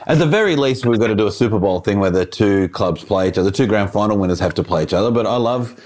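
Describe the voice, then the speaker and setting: A man hosts a relaxed sports interview, eager and encouraging toward his guest. His voice sits a little low, and he laughs easily at the guest's jokes. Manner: enthusiastic, friendly, quick to laugh. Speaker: podcast host.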